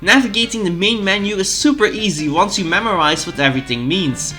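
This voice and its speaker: A man's voice: high-pitched, brisk, bright, forceful tone, faint music behind. A man in his twenties whose first language is Dutch, eagerly hosting a retro game commentary. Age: 20s. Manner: eager, fan-like. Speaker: YouTube host.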